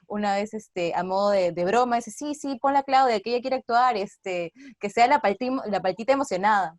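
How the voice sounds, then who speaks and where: A woman speaks in a casual, reflective interview style. She is an actress answering at her own speed, with a medium-pitched voice. The sound is very dry, without room echo. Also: fairly quick.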